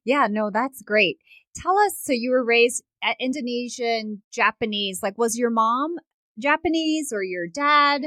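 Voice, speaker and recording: A woman's voice: fairly high pitch, unhurried, fairly bright tone, no room sound, studio quality. A woman hosting an interview show, asking long, encouraging questions with lots of hedging. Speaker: podcast host.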